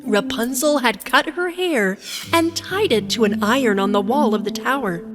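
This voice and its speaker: A woman narrating a children's fairy tale from a script in an expressive storytelling manner. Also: fairly high pitch, moderate pace, loud music behind the voice.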